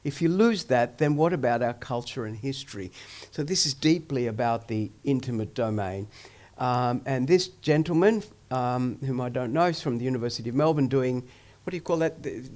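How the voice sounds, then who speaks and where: A man's voice: mid-range voice, lively intonation, moderate pace, a little mellow. A man presenting research in a lecture, talking loosely with many ums, restarts and asides. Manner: discursive, many ums and self-corrections. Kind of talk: academic lecture. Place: event hall.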